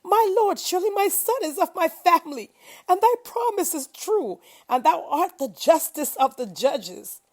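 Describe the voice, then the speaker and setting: Woman voicing an impassioned prophet crying out, high-pitched. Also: very animated, normal speed. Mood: pleading. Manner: impassioned, pleading, declamatory. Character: male prophet crying out fervently to God and people.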